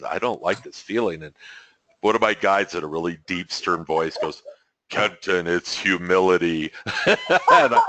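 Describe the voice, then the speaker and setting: A man with a deep voice leading a spiritual webinar over a video call, talking casually, rambling and correcting himself and chuckling often. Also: big pitch swings, unhurried, pushed and bright, no echo, poor audio. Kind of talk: casual teaching talk.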